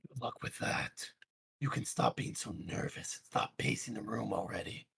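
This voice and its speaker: A streamer putting on a creepy phone stalker killer, with a husky, gravelly voice and a taunting delivery. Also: medium pitch, normal speed, fairly bright tone, no room sound, exaggerated voice.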